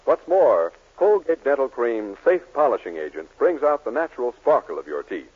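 A mid-pitched American man reads vintage radio advertising with authority. His delivery is animated and slightly rough in tone, heard through a thin, tinny 1940s broadcast. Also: moderate pace. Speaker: commercial announcer. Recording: tinny, narrow-band 1940s radio recording.

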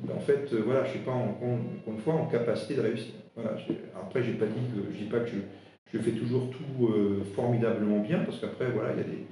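A man's voice: on the low side, fairly even intonation, music in the background. Hesitant man in a very echoey room. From eastern France, Alsace. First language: Alsatian. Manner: halting, many fillers and restarts. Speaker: interim HR director. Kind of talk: podcast interview answers. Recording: very echoey room with faint noise.

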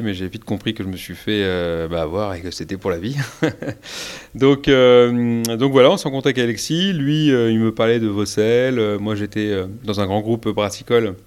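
Man, very low voice, casual interview, many fillers, restarts, hesitant. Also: clean tone. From Paris. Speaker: brewery co-founder, guest.

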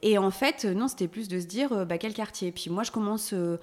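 A woman's voice: mid-range voice, fairly quick, a little mellow. A woman in her forties from central France, telling her own story casually as a podcast guest. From Auvergne and Limousin, France. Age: 40s. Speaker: podcast guest, marketing manager and blogger. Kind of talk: casual personal storytelling in an interview.

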